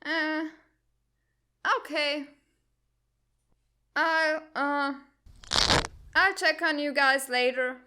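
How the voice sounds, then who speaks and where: A man's voice: very high-pitched, steady tone, drawn-out words, pauses often, sharp tone, a little hiss or hum, full character voice. An actor playing an earnest, frustrated teenage boy at a military academy in a comic fan dub. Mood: sheepish, apologetic.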